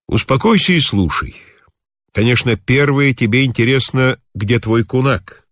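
A man voicing a kindly, talkative old mountain healer in a story, with a calm, instructive, measured manner. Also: medium pitch, no echo, studio quality, natural voice. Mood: calm, reassuring.

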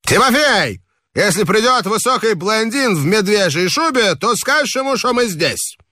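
A man voicing a rowdy, tipsy male party guest who shouts orders to a servant, boisterous and loud. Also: high voice, sing-song, moderate pace, no echo, clean studio sound, pitched above the performer's own voice, exaggerated voice.

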